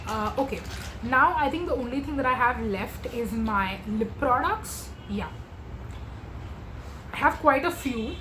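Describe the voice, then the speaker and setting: A woman, chatting to camera as a beauty vlogger in a gushing, filler-heavy way, her voice going hoarse. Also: a little high, expressive, measured pace, pauses often, reverberant, noisy background. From India. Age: 20s. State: losing her voice. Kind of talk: casual makeup chat to camera.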